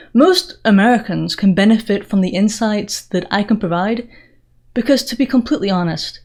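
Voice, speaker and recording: A woman's voice: fairly high pitch, a little mellow. A woman from England with an accent mixing Northern English and Southern American, speaking earnestly and emphatically straight to viewers as an opinionated commentary host. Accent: mix of Northern English and Southern US. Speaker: political commentary video host. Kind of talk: direct-to-viewer channel introduction monologue.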